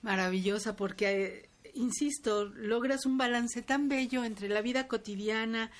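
A woman's voice: a little high, unhurried. A woman of about thirty interviewing a guest, enthusiastic and admiring as she asks questions. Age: around 30.

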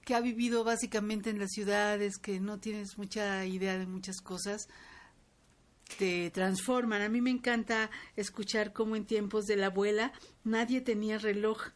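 A woman around thirty conducting an interview, mid-pitched and lively with admiration. She draws her guest out with eager prompts. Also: normal speed. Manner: warm, enthusiastic, admiring.

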